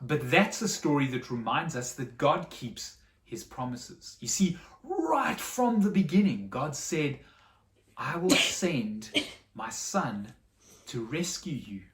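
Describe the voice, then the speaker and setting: Woman, lowish voice, dramatic children's storyteller, echoey room, slightly off mic. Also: very animated, slow, distant mic. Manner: dramatic, simple explanations for children. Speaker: storyteller for a children's church show.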